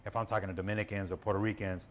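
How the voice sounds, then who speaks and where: Fast-talking relaxed man. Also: fairly low pitch, slight background noise. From Los Angeles. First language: Spanish. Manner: relaxed, chatty, slangy, quick. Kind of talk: casual interview chat.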